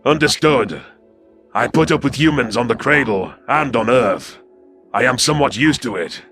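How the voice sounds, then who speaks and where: A man voicing a scrawny, wary alien predator soldier in an audio drama, gravelly, deferential yet cold and terse. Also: mid-range voice, lively intonation, normal speed, a bit bright, pitched above the performer's own voice. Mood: earnest, deferential. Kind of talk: audio drama character acting. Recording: music behind the voice.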